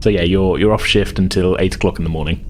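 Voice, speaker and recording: A man acting as game master, narrating a tabletop game casually in a halting, explanatory way. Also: low voice, fairly even intonation, slight background noise.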